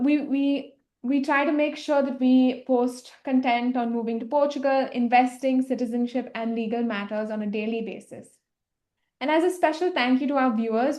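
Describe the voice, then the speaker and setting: A woman's voice: sits high, darkish tone, roomy sound. A woman hosting a live online question session, giving a polished, formal scripted introduction. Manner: polished, formal and welcoming, reading a prepared script. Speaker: host.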